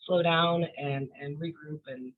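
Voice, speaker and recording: A woman's voice: fairly low pitch, expressive, unhurried, gravelly. Woman on a tinny phone-like line. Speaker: office manager, interview guest. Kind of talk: casual chat, answering personal questions. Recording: tinny phone-like call audio.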